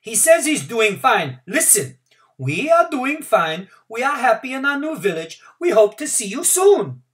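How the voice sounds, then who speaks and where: A reader voicing a hopeful man in a crowd who speaks high, loud and insistent. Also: big pitch swings, measured pace, distant mic.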